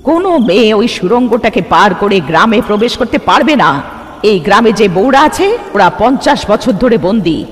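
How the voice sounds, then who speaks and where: Man as grim cartoon village aunt, very high pitch, swooping intonation, ominous drawn-out warnings. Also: sing-song, in a large hall. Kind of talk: cartoon voice acting.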